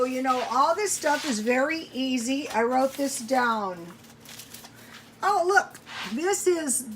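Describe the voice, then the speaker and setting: A woman's voice: high-pitched, a bit bright, a little hiss or hum, rough recording. A woman chatting casually to viewers while cooking at home, thinking aloud in a rambling, folksy way. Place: home kitchen.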